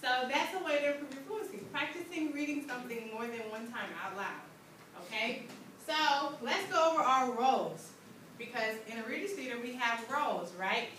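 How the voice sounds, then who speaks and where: High-pitched woman teaching in an echoey room. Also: animated, normal speed, fairly bright tone. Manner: checks understanding with short questions. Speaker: English teacher. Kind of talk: interactive classroom lesson. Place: indoor school classroom. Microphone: distant room microphone. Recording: echoey, noisy classroom, far from microphone.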